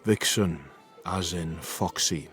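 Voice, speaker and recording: A man's voice: low-pitched, takes it slowly. An actor playing a blunt, sardonic doctor who confides his own story as first-person narration in a radio drama. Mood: wry, confiding. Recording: music behind the voice.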